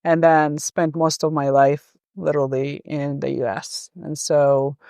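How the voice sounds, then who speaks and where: Middle-aged woman guest, measured and hesitant, clean resonant tone, dry close sound. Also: deep voice, flat delivery, mellow, dry recording. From Rwanda, raised in Uganda. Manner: thoughtful, hesitant with many ums. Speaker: interview guest, gender professional. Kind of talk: conversational interview.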